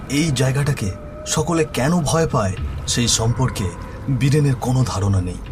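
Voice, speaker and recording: A man narrating a scripted horror story in a dramatic storytelling style. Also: medium pitch.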